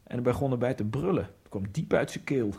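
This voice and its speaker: Gravelly man in a radio monologue. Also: medium pitch, expressive, dark tone. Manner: halting, fragmented inner monologue. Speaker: narrator and main character. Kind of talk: radio drama first-person monologue. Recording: radio drama with faint music.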